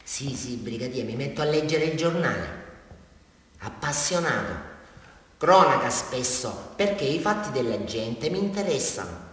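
Simple southern italian man confessing in a radio monologue, rambly and repetitive, really lively pitch, big echoey hall far from mic. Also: unhurried, a little hiss or hum. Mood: rambling, subdued. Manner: rambling, repetitive, insistently addressing his listener. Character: simple-minded, rambling, eager male suspect confessing to police.

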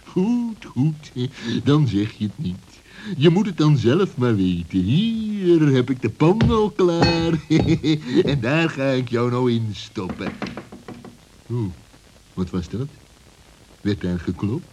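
A man voicing a sly, greedy fox in a children's radio play, with a husky, menacing and mocking manner. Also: medium pitch, sing-song, takes it slowly, over music, pitched above the performer's own voice, low-quality recording. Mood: gloating, threatening, then wary, irritable. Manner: menacing, mocking, swooping delivery with chuckles. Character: sly, greedy, bullying male fox villain.

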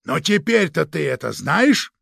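A male narrator acts out a domineering police chief in a crime audiobook. The voice sits fairly high, with a gravelly creak and a very dry, close sound. He interrogates with suspicion and hard authority. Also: very animated, a little slow, fairly bright tone, no room sound, full character voice. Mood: pressing. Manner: commanding, interrogating, barking orders. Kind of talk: dramatic audiobook character voice.

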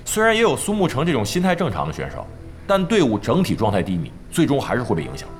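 Theatrical, wry veteran gamer, lively delivery. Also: male voice, medium pitch, fast talker, faint music behind. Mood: wry analysis. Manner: theatrical, teasing, exclamatory. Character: wry, theatrical veteran esports team member, joking man. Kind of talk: Chinese radio drama acting.

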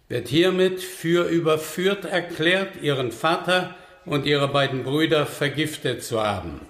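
An actor playing a stern judge in a period radio drama, proclaiming formally and solemnly. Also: male voice, fairly high pitch, a bit bright. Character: stern male judge solemnly pronouncing a death sentence. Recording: echoey hall-like room.

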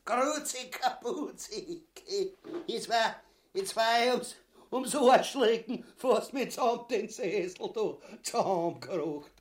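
An actor playing a gluttonous, crude, clownish husband in a farcical radio play, with a Viennese Austrian accent and exaggerated, coarse delivery. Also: male voice, medium pitch. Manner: drawn-out, exaggerated, coarse delivery with big swings.

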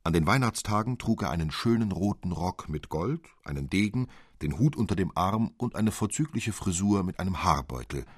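Male storyteller, low-pitched, measured and expressive, literary fairy tale, very dry close recording. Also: no echo. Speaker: narrator.

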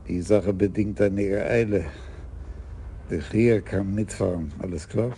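An actor playing a shrewd, easygoing older police commissioner who talks in a slow, wry, unhurried way. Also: male voice, deep voice, steady tone, mellow, slight background noise. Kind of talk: radio drama acting.

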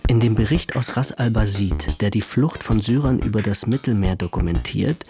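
A man's voice: on the low side, expressive, moderate pace, dark tone. A man playing a formal, pedantic fact-checker dictating a letter in a radio drama. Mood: formal, careful. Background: prominent music.

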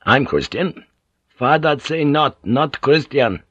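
A man's voice: medium pitch, animated, slow, a bit bright, husky, no echo, dull audio, pitched above the performer's own voice, full character voice. A man voicing a polite but firm Japanese village headman in an audiobook, with a Japanese accent and clipped, broken English phrases. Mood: polite, halting.

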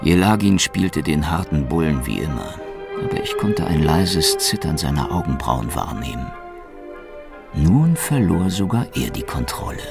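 An actor playing a cynical, world-weary Soviet secret police officer in a German radio drama, sardonic and gravelly. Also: male voice, a bass voice, big pitch swings, a little slow, full character voice. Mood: wry, brooding. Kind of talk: German radio drama, first-person noir narration and dialogue. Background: music.

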